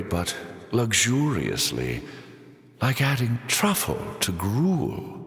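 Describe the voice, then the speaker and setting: A sly theatrical fallen angel game villain, silky and mocking, really slow, big echoey room around the voice. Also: male voice, medium pitch, creaky, fairly bright tone, exaggerated voice. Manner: sardonic, silky, drawn-out, savouring delivery. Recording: voice in echoey hall reverb.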